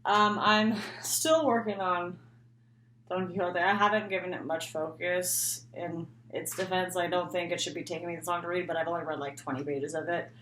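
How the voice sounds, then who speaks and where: A woman's voice: on the low side, fairly even intonation, normal speed, fairly bright tone. A woman talking casually and unscripted as a book vlogger, rambling and often interrupting herself. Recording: echoey room, faint background noise.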